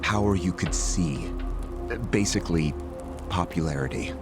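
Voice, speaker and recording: A smug, low-voiced political schemer. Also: male voice, unhurried, over music. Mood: smug, lecturing. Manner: smug, explanatory, chuckling. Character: smug, calculating male political schemer and activist leader. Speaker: character in a crime drama video game. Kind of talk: dramatic video game cutscene acting.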